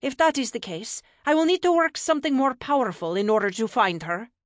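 An imperious ancient goddess as a woman narrator plays her in a fantasy audiobook. She is haughty, formal and commanding, fairly high in pitch and sweeping widely up and down. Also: big pitch swings, brisk, no room sound, studio quality. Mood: determined. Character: imperious, fierce ancient Norse goddess, proud and impatient.

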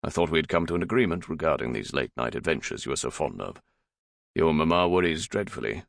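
Stern, fond middle-aged dad as a male narrator plays him, very low, gravelly, dryly scolding. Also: animated, fast talker, dry recording, heavily acted. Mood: exasperated, fond. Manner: dry, weary scolding. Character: stern but fond middle-aged father, former patriot raider.

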